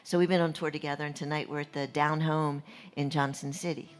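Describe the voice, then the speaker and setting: A woman's voice: sits low, fairly even intonation, brisk. A middle-aged woman, a touring singer-songwriter, chatting casually and laughing often as a radio guest. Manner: warm, chatty, laughs a lot.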